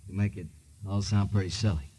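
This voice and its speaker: An American actor playing a nervous, evasive man in his thirties in a 1950s radio drama, halting and defensive. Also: low voice, steady tone, mellow, slightly rough, no room sound. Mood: evasive, sheepish. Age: 30s.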